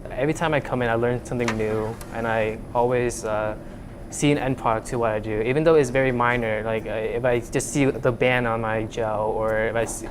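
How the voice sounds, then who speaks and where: A man's voice: medium pitch, fairly even intonation, fairly quick, noisy background. Young man from Vietnam in a humming lab. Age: young adult. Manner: many fillers and restarts, earnest. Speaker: student researcher. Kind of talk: casual interview. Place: lab room.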